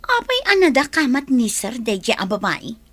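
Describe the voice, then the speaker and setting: High-pitched, suspicious jealous woman played by an actress. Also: big pitch swings, moderate pace, dry recording. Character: suspicious, jealous woman prying about a male boss. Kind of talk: radio drama dialogue.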